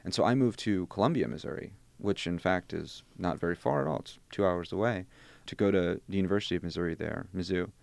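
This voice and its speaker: A man's voice: low voice, fast talker, gravelly, darkish tone, no echo. A man in his twenties whose first language is Bosnian, talking thoughtfully in an interview as a graduate student, restarting phrases and adding wry asides. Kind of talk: conversational interview.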